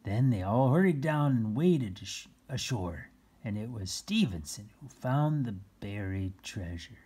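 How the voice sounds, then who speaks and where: A children's read-aloud host with a husky voice, reading a picture book and adding playful exclamations and explanations for young listeners. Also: medium pitch, lively intonation, measured pace, warm tone. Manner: exclaims, gasps, chuckles and explains words to children.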